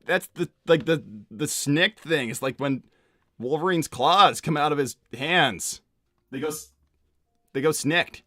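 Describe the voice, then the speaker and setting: A man's voice: a little high, unhurried, bright, forceful tone, no echo, studio quality. A man playing a casual, awkward young cartoon hero in improvised livestream roleplay. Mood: helpful. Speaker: performer voicing game characters on a fan livestream.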